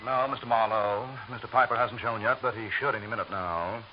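A man's voice: on the low side, fairly even intonation, a little fast, natural voice. Man doing a folksy motel keeper, mid voice, hissy old radio recording. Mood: friendly. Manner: easygoing, folksy. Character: friendly male motel keeper. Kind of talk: 1940s radio drama acting. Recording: noisy dull old radio broadcast.

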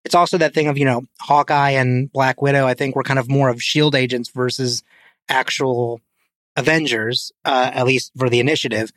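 A man's voice: medium pitch, steady tone, normal speed, fairly bright tone, no room sound. A man in a casual film discussion on a podcast, talking informally and peppering his sentences with "like". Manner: informal, hedging with frequent "like". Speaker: podcast co-host.

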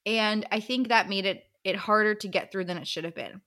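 A woman's voice: medium pitch, fairly quick, sharp tone. A woman co-hosting a book recap podcast in casual, playful, informal language.

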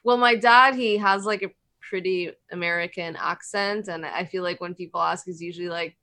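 A woman's voice: fairly low pitch, fairly even intonation, normal speed, sharp tone. A woman chatting casually, rambling with lots of filler words. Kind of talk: casual conversation.

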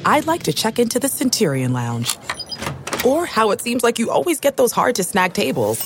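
A woman's voice: fairly high pitch, sing-song, normal speed, background noise. A woman reading scripted commercial narration as an advertisement announcer. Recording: music bed behind voice. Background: music.

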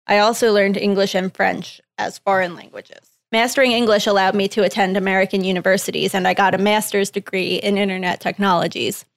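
A woman reading a guest's typed interview answers aloud in a measured, plain way. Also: mid-range voice, creaky, no room sound, studio quality.

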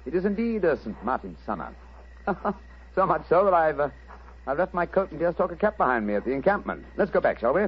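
A calm, cryptic detective, measured and matter-of-fact with a gently teasing edge. His speech rises and falls in wide, lively swings. It sounds like a muffled old radio broadcast. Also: a little high, slightly rough, exaggerated voice. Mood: calm, wry. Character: calm, cryptic male detective, middle-aged. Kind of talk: 1940s radio acting.

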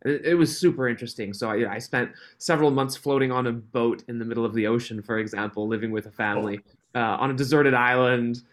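A man's voice: medium pitch, fast talker, fairly bright tone. Easygoing Swiss-raised man, laughs often. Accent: mixed, Canadian-tinged. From Switzerland. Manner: friendly, laughs often, restarts phrases. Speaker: podcast guest, company founder. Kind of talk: casual interview chat.

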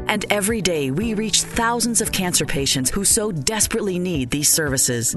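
Low-voiced American woman reading a charity appeal. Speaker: charity advertisement narrator. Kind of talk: scripted public service appeal. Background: music.

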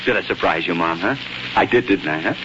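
An actor playing an eager, boyish grown son with an excitable manner, in 1940s radio acting style. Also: male voice, deep voice, fast, lightly acted. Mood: excited. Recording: muffled, noisy 1940s radio recording.

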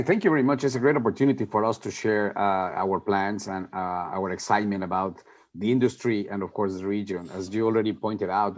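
A man from Mexico, a company executive answering interview questions in a halting way, with frequent restarts and fillers. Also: lowish voice.

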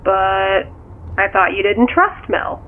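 An actress playing a curious, skeptical confidante in a naturalistic audio drama, questioning in a casual, dry way through a telephone filter. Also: female voice, medium pitch, slow pace, a little hiss or hum. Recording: thin, tinny phone line.

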